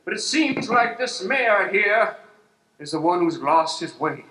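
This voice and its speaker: A man's voice: sits high, expressive, measured pace, pushed and bright, full character voice. A man playing a God-fearing gunslinging parson in an improvised Western radio play, declaiming solemnly in folksy frontier phrases on an echoey, noisy stage. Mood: righteous accusing. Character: God-fearing male gunslinging parson of the Old West, righteous. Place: live improv stage with audience. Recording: echoey stage hall, background noise.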